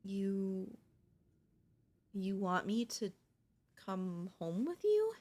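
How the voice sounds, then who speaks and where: Casting: woman to play young ghost girl for ASMR audio drama. Slow delivery, mid pitch, casual and frank, tender and wistful. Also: sing-song, mellow, heavily acted. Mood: tender, reluctant. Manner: casual, hesitant, matter-of-fact about grim things. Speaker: voice actor. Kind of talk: intimate ASMR audio roleplay.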